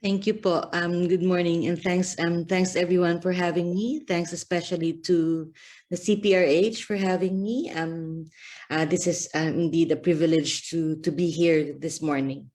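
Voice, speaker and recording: A woman's voice: fairly low pitch, steady tone, normal speed, a little mellow. A woman from the Philippines giving an earnest talk at a press briefing over a video call, with frequent fillers. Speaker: guest speaker, campaign manager.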